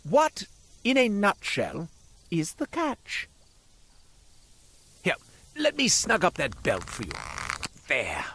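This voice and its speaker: A man's voice: high voice, wildly swooping pitch, slow, long pauses, fairly bright tone, a little hiss or hum, exaggerated voice. A man playing a flamboyant devilish roadside merchant in a video game, with florid, theatrical, ingratiating sales patter. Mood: sly, showy. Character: flamboyant devilish roadside curio merchant, male, wheedling and grandiose.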